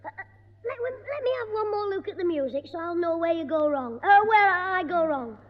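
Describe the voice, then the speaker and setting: A man playing a cheeky, scheming schoolboy in a 1960s radio sitcom, quick with a retort and stammering when caught out. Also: very high-pitched, animated. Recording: dull old radio broadcast with long reverb.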